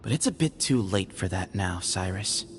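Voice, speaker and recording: An actor playing a calm, shrewd young man, heir to a temple, who speaks in a matter-of-fact way, in a game cutscene. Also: deep voice, normal speed, a little hiss or hum.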